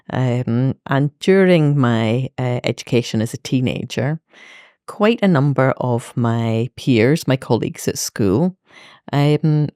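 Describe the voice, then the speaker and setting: A middle-aged woman with a Belfast accent, a medical educator speaking reflectively as an interview guest, with many pauses and fillers. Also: a bass voice, mellow, dry recording, studio quality. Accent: Northern Irish, Belfast. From Northern Ireland. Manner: thoughtful, many fillers and restarts.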